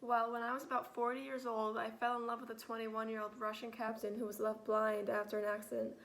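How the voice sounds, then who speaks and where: A woman playing a desperate, defensive female dancer turned spy in a school role-play interview, speaking quietly and hurriedly. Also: on the high side, level tone, brisk.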